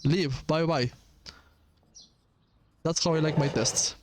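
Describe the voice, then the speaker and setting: A man's voice: a little high, steady tone, slow. A man doing game playthrough commentary, reading dialogue aloud with casual asides. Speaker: gaming video host.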